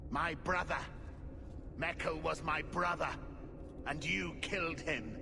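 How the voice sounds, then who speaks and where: A man's voice: fairly high pitch, slow pace, vocal fry. An actor playing a vengeful, menacing male thug in a fantasy video game, bitter and threatening. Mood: bitter, angry. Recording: noisy, long hall reverb.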